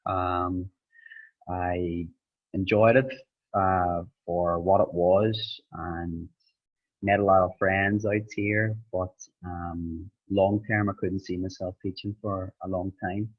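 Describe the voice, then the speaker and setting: A young Irish man telling his story in an interview, pausing often with ums. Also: deep voice, fairly even intonation. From Ireland. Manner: hesitant, many ums, rambling. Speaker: interviewed student. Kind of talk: casual interview. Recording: dull lo-fi sound.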